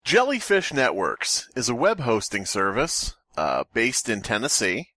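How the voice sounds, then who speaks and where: A man's voice: mid-range voice, big pitch swings, unhurried, bright, forceful tone, no room sound. A man hosting a casual comic podcast, rambling along in a wry, joking way. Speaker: podcast host.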